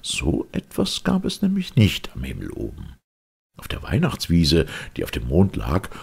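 A man reading a fairy tale aloud as an audiobook, in a theatrical, expressive storytelling manner. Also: a bass voice, creaky, slightly rough. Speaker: audiobook reader.

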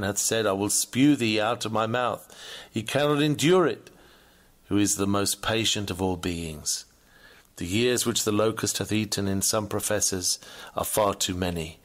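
A man reading an old Victorian sermon aloud in an earnest, measured, oratorical manner. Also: on the low side. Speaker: sermon reader.

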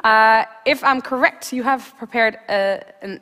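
A woman's voice: on the high side, a little slow, bright, forceful tone. A young woman hosting a live event on stage, chatty and informal with frequent fillers. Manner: chatty, many fillers, laughs often. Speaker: event host. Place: large stage hall. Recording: reverberant hall.

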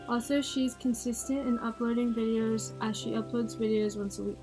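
A woman's voice: on the high side, steady tone, normal speed, poor audio. A woman reading a scripted biographical narration. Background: music.

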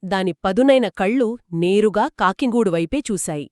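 A woman's voice: mid-range voice, moderate pace, a little mellow, dry recording, studio quality. A woman telling a children's story conversationally, engaged and dramatic as she speaks to young listeners.

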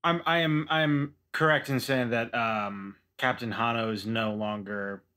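A man takes part in a tabletop roleplaying game as a player. His voice is bright and medium in pitch. He describes his character in a casual, improvised way. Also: steady tone, a little slow.

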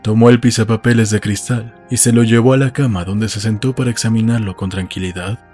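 A man's voice: on the low side, steady tone, brisk, darkish tone, faint music behind. A man reading scripted literary narration as the narrator of an audiobook.

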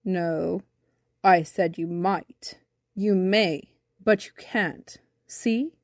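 A woman voicing a slow, serious male bumblebee with a rumbly bass voice in a children's storybook. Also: a little mellow, dry recording, studio quality. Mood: serious, objecting. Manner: slow, deliberate, pedantic.

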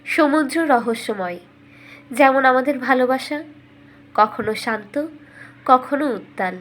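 A woman voicing a loving young princess in a fairy tale, speaking in a gentle, lyrical, earnest way. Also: high-pitched, measured pace. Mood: tender, poetic. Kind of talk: cartoon bedtime storytelling.

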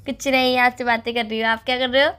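Casting: young girlfriend on the phone, female, sharp high voice, nagging and insistent, casual. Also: little pitch movement, fast talker, smooth. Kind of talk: casual couple's phone chat.

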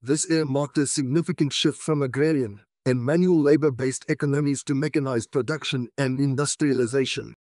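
A man reading a prepared text aloud as a model answer, in a measured, formal way. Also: mid-range voice, steady tone, a little mellow, no echo, clean studio sound. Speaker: model reader for English exam practice. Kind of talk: careful scripted read-aloud.

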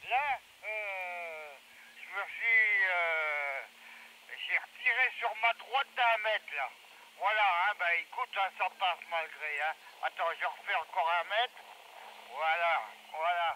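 A man chatting haltingly as a radio operator, heard through a handheld radio speaker. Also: high voice, bright, forceful tone. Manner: hesitant, halting. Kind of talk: casual two-way radio chat. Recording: noisy walkie-talkie relay picked up outdoors.

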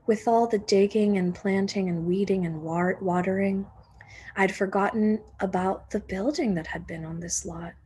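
Female storybook reader, teacher type: husky, slow, gentle and expressive. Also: medium pitch. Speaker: teacher reading a picture book aloud. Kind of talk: expressive children's storybook read-aloud.

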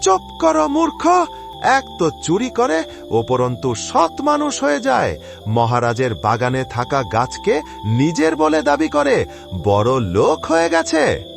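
A man's voice: sits high, wildly swooping pitch, slow pace, gravelly, over music, exaggerated voice. A man voicing a greedy, bullying head gardener in a cartoon moral tale, theatrical and scolding. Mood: angry, accusing.

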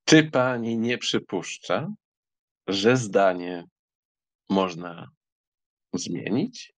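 Man as low, husky, proud young count. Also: extremely slow, fairly bright tone, no echo, heavily acted. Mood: insistent. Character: proud, audacious young count courting a lady.

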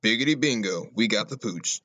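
A man's voice: lowish voice, lively intonation, moderate pace, pushed and bright. A man voicing a folksy, cocky schemer in a comedy cartoon, full of colourful slangy patter. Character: folksy, cocky schemer leading a bumbling duo.

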